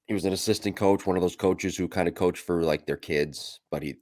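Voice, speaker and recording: A man co-hosting a podcast, stuffed up from a cold, talking in a casual, animated and profane way. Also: very deep voice, dry recording, studio quality. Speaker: podcast co-host.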